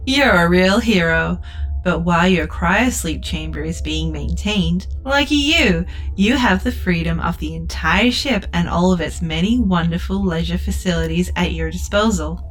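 A woman's voice: on the low side, normal speed, faint music behind, close to an everyday voice, poor audio. A woman playing a relentlessly cheerful customer-service AI in a science fiction audio drama, with scripted, over-polite corporate patter. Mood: upbeat, reassuring. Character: relentlessly upbeat customer-service AI of a budget spaceliner.

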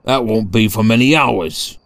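A man voicing a powerfully built, gruff police constable in a storybook, blunt and colloquial, tense in temper. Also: fairly low pitch, measured pace, no room sound, clean studio sound, pitched below the performer's own voice. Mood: scornful.

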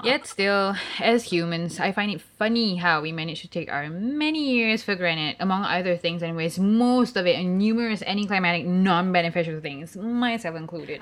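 A woman, hosting a solo podcast in a chatty, jokey way with quick self-mocking asides. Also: on the low side, a bit bright. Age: 30s. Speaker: podcast host. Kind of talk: casual solo podcast monologue.

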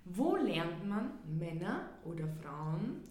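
A woman's voice: fairly low pitch, sing-song, takes it slowly, a little mellow, roomy sound, slight background noise. A woman co-hosting a casual podcast, asking guests questions in a studio. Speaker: podcast co-host.

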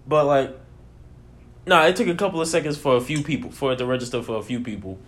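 A man with a mid-pitched voice in casual conversation who teases his friend and breaks into laughter often. Allergies leave him sounding slightly nasal and sniffly. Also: fast talker. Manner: teasing, laughs often, animated reactions. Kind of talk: casual chat.

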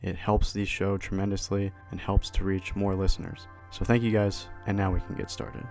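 Stuffy-nosed young guy hosting his podcast, low steady voice, laid back, laughs a lot. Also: normal speed, faint music behind. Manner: relaxed, chatty, laughs often. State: congested from a cold. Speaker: podcast host. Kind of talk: casual podcast chat.

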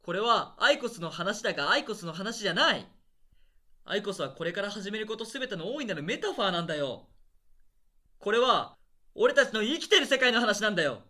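Guy doing a bossy hot-tempered stage director in a radio play, high forceful voice, scolds gruffly. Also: sing-song, a little fast, full character voice. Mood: wounded, insistent. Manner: gruff, scolding, rough-spoken. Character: hot-headed, pompous, overbearing male theatre director. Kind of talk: radio drama acting, heated comic dialogue.

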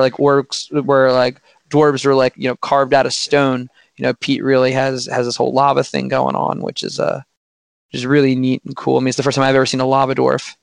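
A man with a slightly hoarse voice, chatting casually on a podcast with many restarts and fillers. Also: mid-range voice, no echo.

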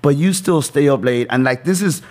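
A man's voice: on the high side, normal speed, a little mellow. Informal male podcast host, thirties. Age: 30s. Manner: informal, rambling, swearing. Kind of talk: casual interview with motivational talk.

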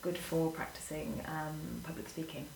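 A woman, a guest chatting casually, whose phrases often trail off quietly. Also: deep voice, monotone, normal speed, creaky. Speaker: guest, former teacher. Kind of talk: casual conversation. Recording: distant echoey mic with faint noise.

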